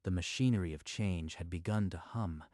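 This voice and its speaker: A man narrating a manga dub story in a steady, even storytelling manner. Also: deep voice, moderate pace, no echo, studio quality.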